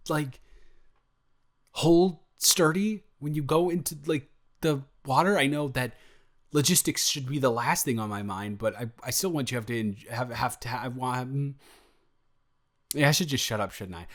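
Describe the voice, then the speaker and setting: A man's voice: mid-range voice, normal speed, lightly acted. A man playing an eager, smitten young boyfriend in an improvised intimate audio roleplay, stammering and correcting himself as he goes. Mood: flustered, rambling. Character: eager, smitten, flustered young boyfriend, sweet and easily overwhelmed.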